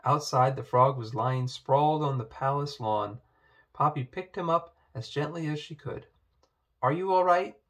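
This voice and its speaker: A man reading a children's picture book aloud as narrator, in an expressive way. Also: mid-range voice, normal speed.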